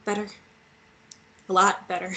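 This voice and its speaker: A woman's voice: medium pitch, flat delivery, a little slow, bright, forceful tone. An actress playing a bold, teasing, injured young woman in a romance table read, with a naturalistic, playful delivery. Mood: frank.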